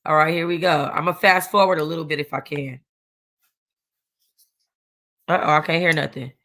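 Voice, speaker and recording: A woman's voice: low-pitched, fairly even intonation, normal speed, fairly bright tone. A woman hosting a book club in casual, slangy chat, often thinking aloud.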